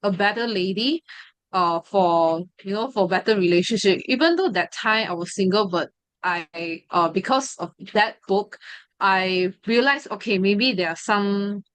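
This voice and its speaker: A woman's voice: mid-range voice, measured pace, fairly bright tone, dry recording, poor audio. A young woman sharing a personal testimony, hesitant and full of fillers and restarts. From Malaysia. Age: 20s. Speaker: study group member sharing her story.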